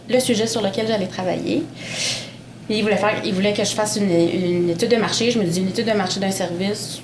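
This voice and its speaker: A woman in her forties, a Portuguese speaker, telling her career story as a panel guest with many fillers and restarts. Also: medium pitch. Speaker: panel guest, mining executive. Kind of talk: conversational interview. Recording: echoey room with background noise.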